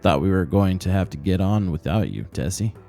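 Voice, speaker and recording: A man voicing a brisk, folksy village official who calls out a roll in a storybook reading. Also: low-pitched, creaky, dark tone, faint music behind. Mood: businesslike. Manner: folksy, businesslike, calling out.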